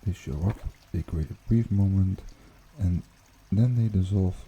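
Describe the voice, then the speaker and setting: A man hosting an ASMR guided meditation in a hushed, unhurried, soothing way with gentle pauses. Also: low voice, muffled recording, slight background noise. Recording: water trickling behind the voice. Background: pond water bubbling and trickling.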